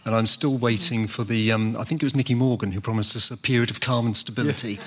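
A man's voice: medium pitch, fairly even intonation, fast talker, a bit bright. A middle-aged man giving a spontaneous, hesitant comment from the audience, often repeating words. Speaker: audience questioner, head teacher.

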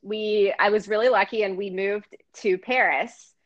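A woman from Florida, an artist guest, chatting in a relaxed, hesitant way with many pauses and soft laughs. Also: mid-range voice, lo-fi sound. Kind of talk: casual interview chat.

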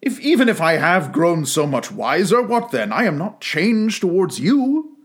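A man's voice: sits high, big pitch swings, measured pace, voice pushed up. A man playing a curt, grasping young man. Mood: impatient. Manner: curt, impatient.

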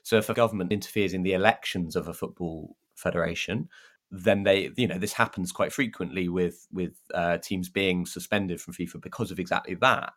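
Low-pitched man, conversational podcast co-host. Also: steady tone, brisk, a bit bright. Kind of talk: casual football discussion.

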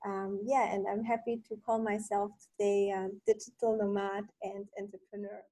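A woman's voice: medium pitch, flat delivery, normal speed, warm tone, no echo, poor audio. A woman in her thirties from Poland, answering interview questions hesitantly with many ums and restarts. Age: 30s. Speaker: podcast guest.

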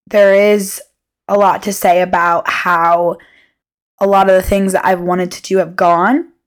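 A woman of twenty hosting a solo podcast in a casual, unscripted way, candid and rambling, often interrupting herself. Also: mid-range voice. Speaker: podcast host.

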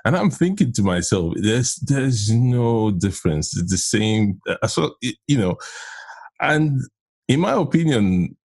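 A man's voice: mid-range voice, very animated, measured pace, darkish tone, breathy edge, no echo. A man hosting a podcast, talking casually and with enthusiasm to his guest. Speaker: podcast host.

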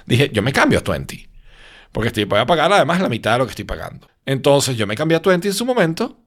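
Venezuelan guy, tired, rambles on a podcast, checks in with you a lot, very animated intonation. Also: mid-range voice, fast. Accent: Venezuelan Spanish. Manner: rambling storytelling with frequent tag questions. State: sleepy. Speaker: podcast host. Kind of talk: casual podcast chat.

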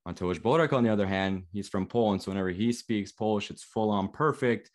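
A young man born in Canada hosts a football podcast with a fairly low voice. He asks his questions eagerly and keeps the chat friendly. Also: fairly quick. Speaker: podcast host. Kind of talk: interview hosting.

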